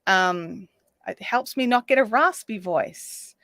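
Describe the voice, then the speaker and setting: Chatty woman, bright and dry. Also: medium pitch, lively intonation, slow, no room sound. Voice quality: slightly raspy today. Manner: chatty, reads viewer comments aloud, counts stitches under her breath. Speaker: craft livestream host. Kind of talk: casual chat while crocheting. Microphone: desk microphone.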